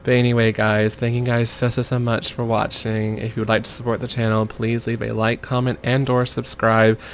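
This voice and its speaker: A man commentating over gameplay in a mostly monotone voice, muttering urgings at the screen. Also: medium pitch, normal speed, a little hiss or hum. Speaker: gaming video creator.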